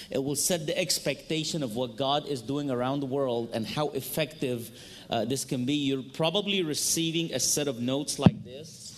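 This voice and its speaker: A man's voice: fairly high pitch, slight background noise. A man from Egypt, a native Arabic speaker with an Egyptian accent, giving an earnest, storytelling seminar talk into a microphone in a hall. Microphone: handheld or stand microphone.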